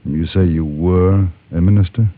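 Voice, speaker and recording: An actor playing a calm, probing frontier gentleman who is hero and narrator of a Western radio drama, measured and understated in his questioning. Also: low voice. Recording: dull old radio recording with music.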